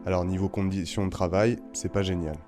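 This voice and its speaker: A man, the podcast's narrator, reads a scripted summary in an even delivery. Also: low voice, fairly quick. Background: music bed.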